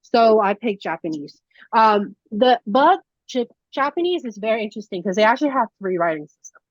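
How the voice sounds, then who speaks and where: A woman's voice: a little high, very animated, fairly quick, no room sound. A young woman from Taiwan whose first language is Mandarin, teaching in a casual, chatty way with lots of fillers and restarts. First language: Mandarin Chinese. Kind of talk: casual conversational lesson. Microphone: video call. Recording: dull lo-fi video call audio.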